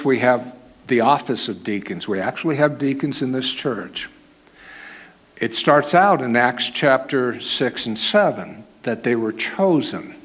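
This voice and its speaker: A man teaching a sermon in church, with a naturally loud voice held down, talking in a conversational way and asking the congregation questions. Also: mid-range voice. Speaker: preacher. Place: church hall.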